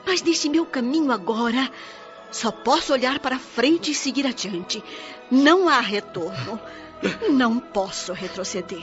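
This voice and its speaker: A Brazilian woman playing a gentle, devout elderly mother spirit in a radio drama, speaking with tender, solemn earnestness. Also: on the high side, expressive, measured pace. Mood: solemn, loving. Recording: dull radio drama with loud music.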